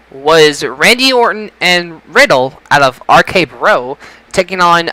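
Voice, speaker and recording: A man's voice: sits high, bright, forceful tone, dry recording, rough recording. A man hosting a casual podcast about wrestling results, speaking emphatically and often repeating and stumbling over phrases. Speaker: podcast host.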